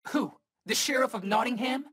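A man's voice: extremely high pitch, normal speed, a bit bright, slightly rough, exaggerated voice. A man voicing a cocky, wisecracking eighteen-year-old superhero in a video game, talking in slangy battle quips. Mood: cocky, teasing. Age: young adult.

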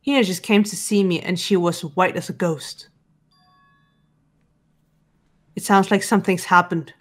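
A woman playing a composed, analytical teenage girl in a visual novel dub, speaking in a calm, matter-of-fact way. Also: lowish voice, brisk, close to an everyday voice. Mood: calm, serious. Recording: background game music.

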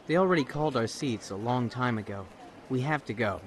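A man's voice: medium pitch, brisk, slight background noise, natural voice. A man playing a shaken, anxious young man in an audio drama, speaking in an urgent, subdued way.

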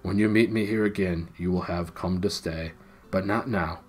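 A man reading aloud, voicing a majestic male lion who speaks slowly and gently. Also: low voice, steady tone. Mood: gentle. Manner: slow, hushed, solemn.

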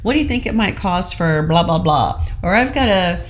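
A woman's voice: lowish voice, lively intonation, normal speed, a little hiss or hum. A middle-aged woman co-hosting a studio talk show, chatty and affirming, reading out viewer comments. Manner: chatty, frequent affirming "mm-hmm", reads viewer comments aloud. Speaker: co-host. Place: studio set with city backdrop. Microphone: desk microphone.

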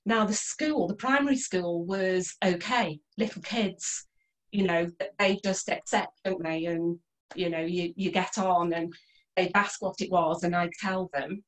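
A woman's voice: fairly low pitch, a little slow, rough recording. A middle-aged woman from North Wales, born in Liverpool, telling her life story casually as an interview guest on a video call. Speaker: interview guest, holistic therapist. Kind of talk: casual interview, personal reminiscence. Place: indoors in a small room at home, on a video call. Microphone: computer microphone on a video call.

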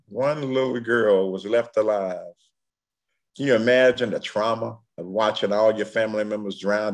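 A man in his sixties with a Southern US accent, a pastor preaching a sermon in rhythmic, repeated refrains. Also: fairly low pitch. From Tennessee, United States. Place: room at home. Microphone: computer mic on video call.

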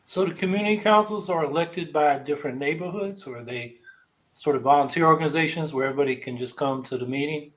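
Older male podcast host, somewhat high pitch, thoughtful interviewer on a video call. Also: quick pace, a bit bright, poor audio. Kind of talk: casual interview on a livestream. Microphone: video call webcam.

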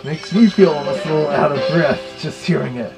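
Man, high voice, gravelly, casual reviewer commentary, faint music behind. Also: brisk, poor audio. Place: small bedroom, indoors.

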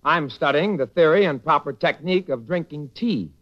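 Man as animated sportsman with literary airs. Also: fairly high pitch, normal speed, close to an everyday voice. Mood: earnest, proud. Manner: proudly explains trivia with mock gentility. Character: earnest baseball man putting on refined literary airs. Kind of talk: 1940s radio comedy acting. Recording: muffled, faintly noisy 1940s radio recording.

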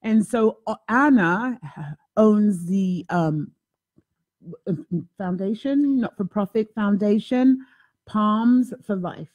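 A woman hosting a talk show, chatting in an animated, self-mocking way from a home office, speaking into a desk microphone. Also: medium pitch, slow pace. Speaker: host. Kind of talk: casual chat. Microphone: desk mic on boom arm.

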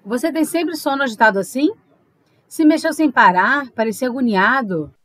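High-voiced confident seductress. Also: female voice, lively intonation, moderate pace, fairly bright tone. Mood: curious. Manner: flirtatious, bantering. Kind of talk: audio drama acting.

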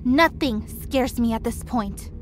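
Blunt fearless student, a woman doing it, quite high pitched. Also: unhurried, slight background noise, lightly acted. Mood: sarcastic. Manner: sarcastic, blunt. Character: sharp-tongued young woman student, unafraid.

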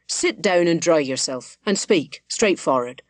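A woman voicing a blunt, steady male innkeeper in a storybook, conciliatory but firm, with rustic phrasing. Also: sits low, a little slow, dry recording. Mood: firm, commanding.

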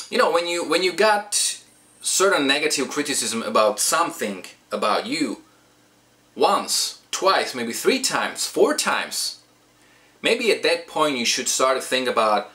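A young man with a Slovak accent, a singer and English teacher, giving casual advice to camera from a small room at home, talking with his hands and rambling. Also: mid-range voice. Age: young adult. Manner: animated, gestures with hands, rambling asides. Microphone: webcam or camera at desk distance.

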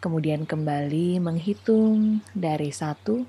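A woman leading a guided meditation in a soothing, unhurried manner, leaving gentle pauses between phrases. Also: low-pitched, slightly rough. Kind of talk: guided meditation, calm slow instruction.

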